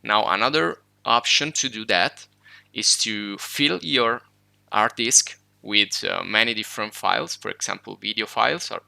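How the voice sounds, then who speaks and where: A man whose first language is Italian, explaining computer steps in a relaxed screen-recorded tutorial, with fillers and self-corrections. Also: fairly low pitch, measured pace, vocal fry, dry recording. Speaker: tech tutorial host.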